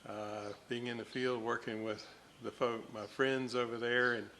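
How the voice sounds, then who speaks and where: An older man giving casual, halting, folksy narration as a hobbyist at his workbench. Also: medium pitch, flat delivery, bright, forceful tone, slight background noise. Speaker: radio restoration hobbyist and host.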